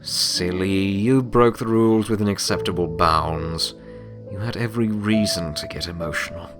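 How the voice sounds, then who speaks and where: A man voicing a caring, wry male pony loner with an awkward, halting, earnest way of talking in an audio drama. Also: low voice, close to an everyday voice. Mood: wry, teasing. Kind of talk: audio drama character dialogue. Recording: loud music bed under voice. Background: loud music.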